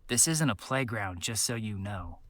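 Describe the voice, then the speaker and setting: A man's voice: lowish voice, lively intonation, moderate pace, fairly bright tone, dry recording. An actor playing a blunt, skeptical young male music producer with a serious, challenging manner in a visual novel game. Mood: skeptical, stern.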